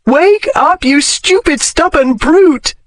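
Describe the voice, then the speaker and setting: Lydia, a fiery Regency heroine, put on by a male audiobook narrator, pitched high and tight as she scolds and pleads in turn. The storybook read comes through dry and close, with no room around it. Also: animated, slow pace, no echo, exaggerated voice. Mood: desperate pleading. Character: fiery young Regency lady, headstrong and distraught.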